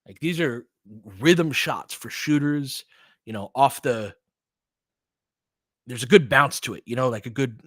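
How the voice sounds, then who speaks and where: A man's voice: medium pitch, unhurried, long pauses, a bit bright, no room sound, clean studio sound. A man doing casual live basketball play-by-play and analysis, mixing short clipped phrases with conversational asides as a partisan fan of the team.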